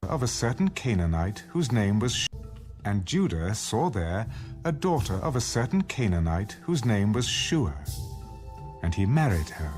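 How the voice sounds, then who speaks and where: A man's voice: fairly low pitch, sing-song, a little slow, darkish tone, soft background music. A man performing as a solemn narrator in a dramatized audio Bible, reading scripted scripture. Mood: solemn narration.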